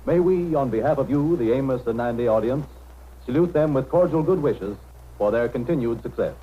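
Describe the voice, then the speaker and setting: A man's voice: medium pitch, lively intonation, brisk. A man announcing formally and ceremoniously in the style of 1930s radio. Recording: dull, faintly noisy vintage radio broadcast.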